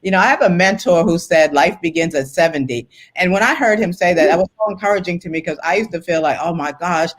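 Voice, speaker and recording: A woman's voice: on the low side, animated, fast, hardly any pauses, rough recording. A woman in her sixties talking casually as an interview guest, reflective and enthusiastic. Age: 60s. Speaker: author and writing teacher, interview guest.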